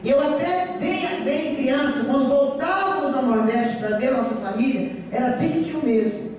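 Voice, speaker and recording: A woman's voice: high voice, moderate pace, darkish tone. A forty-year-old woman preacher with a Goiás Brazilian accent, giving an emphatic, repetitive sermon built on personal testimony. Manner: emphatic, repetitive oratory with rhetorical questions to the congregation. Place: church during a live service. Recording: echoey church hall, distant mic, faint noise.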